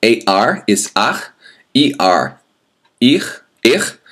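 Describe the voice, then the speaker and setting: A man's voice: medium pitch, very animated, fairly bright tone. A man in his thirties from Brazil, a native Portuguese speaker, presenting himself as a language teacher and giving a sample lesson to the viewer. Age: 30s. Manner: friendly, addresses the viewer directly, pauses with "um".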